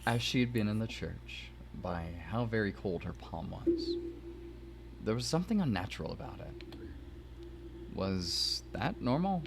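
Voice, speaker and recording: A man's voice: lowish voice, big pitch swings, unhurried, creaky, background noise. A man narrating a story aloud, slipping in playful asides. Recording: music behind the voice.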